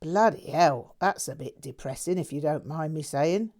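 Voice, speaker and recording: A woman's voice: deep voice, normal speed. A woman reading a novel aloud, voicing a gleeful, brash man. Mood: blunt. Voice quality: loud, brash, slangy.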